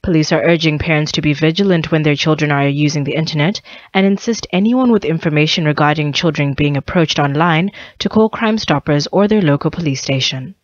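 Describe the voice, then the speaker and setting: A woman's voice: low voice, fairly quick, dry recording, dull audio. A woman reading a scripted radio news bulletin, occasionally stumbling over phrases. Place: dry radio studio.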